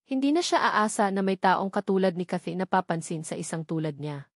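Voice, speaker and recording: A woman's voice: fairly low pitch, animated, a little fast, no echo, studio quality. A woman with a Filipino accent reading a dramatic scripted story as an audiobook narrator.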